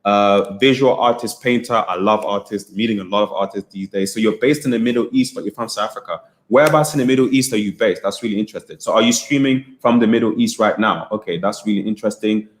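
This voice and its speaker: A man's voice: on the low side, fast talker, hardly any pauses. A young British man coaching on a livestream, chatting casually to the viewers in the chat, encouraging them and repeating his phrases with plenty of fillers. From United Kingdom. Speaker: business coach hosting a livestream.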